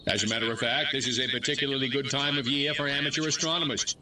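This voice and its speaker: A man's voice: medium pitch, fairly even intonation, fast talker, sharp tone, far from the mic, a little hiss or hum. An American man playing a bluffing small-town mayor in a video game, giving pompous, folksy, satirical 1950s-style political speeches. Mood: bluffing, emphatic. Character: bluffing small-town mayor, alien impostor addressing townsfolk.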